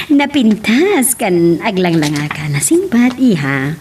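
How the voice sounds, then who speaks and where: A woman acting an animated, teasing older female relative in an Ilocano audio drama. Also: mid-range voice, takes it slowly. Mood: amused, welcoming. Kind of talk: radio-style drama acting. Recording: faint background noise.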